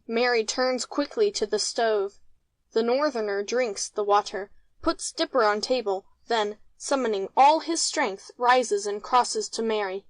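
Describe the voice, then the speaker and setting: A woman's voice: on the high side, unhurried, dry recording, poor audio. A woman reading out a play's stage directions as scripted narration.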